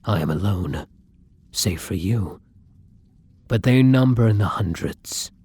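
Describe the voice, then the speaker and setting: Breathy, low-voiced tender vampire played by a man. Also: expressive, no echo, heavily acted. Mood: anguished, bitter. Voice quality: breathy, hushed, often near whisper. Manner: slow, earnest, old-fashioned formal phrasing. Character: tender, anguished male vampire lover, gentle and remorseful. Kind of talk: intimate ASMR roleplay acting.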